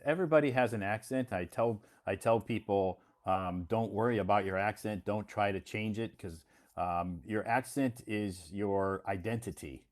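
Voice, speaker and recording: An American man hosting a casual English conversation lesson, talking in a relaxed, reassuring way and often repeating himself. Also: on the low side, moderate pace. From United States. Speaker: English teacher and host.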